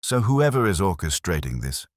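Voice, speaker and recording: A man playing a wry, analytical police inspector who speaks deliberately in a mystery story. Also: low voice, big pitch swings, dry recording, clean studio sound. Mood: wry, measured.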